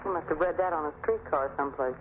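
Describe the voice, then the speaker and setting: A woman's voice: low-pitched, fast talker, close to an everyday voice. An actress playing a witty young society woman with teasing banter in an old radio drama. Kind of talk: 1930s radio acting. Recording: tinny, hissy old radio broadcast.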